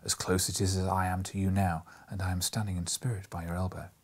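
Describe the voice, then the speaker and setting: Man, audiobook narrator, breathy lowish voice, dramatic literary delivery, theatrical stresses. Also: little pitch movement, fast. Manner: theatrical, hushed at times, with emphatic stresses. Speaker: audiobook reader.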